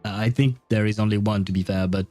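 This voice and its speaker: A man's voice: lowish voice, fairly even intonation, fast talker, a little mellow, faint music behind. A man livestreaming a video game, chatting casually and in a friendly, rambling way as he comments and reads dialogue aloud. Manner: chuckles often, rambling and friendly.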